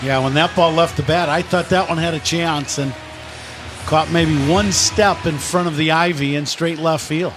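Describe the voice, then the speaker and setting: A man's voice: fairly high pitch, steady tone, moderate pace, music in the background. A man giving conversational analysis as color commentator on a live baseball radio broadcast. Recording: noisy ballpark broadcast.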